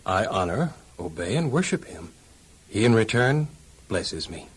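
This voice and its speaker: An actor playing a humble, devout young household servant in a children's audio Bible drama, deferential, modest and earnest. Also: male voice, lowish voice, big pitch swings, a little slow, slightly rough, exaggerated voice.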